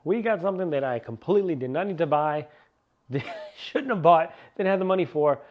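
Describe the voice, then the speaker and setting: A man chatting through a casual car-parts unboxing, excitable and rambling, still a little sniffly from a cold. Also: on the high side, a little fast, lo-fi sound. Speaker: car vlogger.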